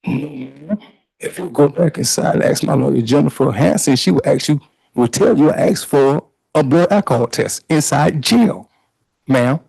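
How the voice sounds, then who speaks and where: A man's voice: a little high, normal speed, creaky, a little mellow, slightly rough, low-quality recording. A man testifying in a courtroom under cross-examination, answering emphatically and defensively in long run-on replies.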